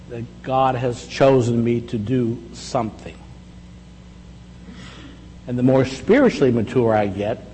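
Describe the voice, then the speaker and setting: A man in his sixties delivers a sermon in an easy, reflective way, slowing into deliberate pauses for emphasis. His voice sits at an ordinary pitch and carries the long reverb of a large hall, over a faint steady hum. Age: 60s. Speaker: pastor.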